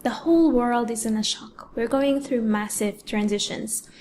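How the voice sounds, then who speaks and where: A woman from Egypt, a pianist and educator, giving a prepared speech in a measured way with deliberate pauses. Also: fairly high pitch, darkish tone, slight background noise. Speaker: award-winning pianist and educator giving a talk.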